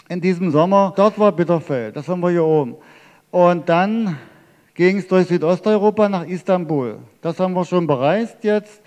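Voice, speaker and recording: A man's voice: sits high, darkish tone. A middle-aged man from Germany giving a chatty, explanatory travel slideshow talk to a live audience, speaking into a handheld microphone in a large theatre hall. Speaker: travel lecturer presenting his cycling slideshow. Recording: reverberant hall.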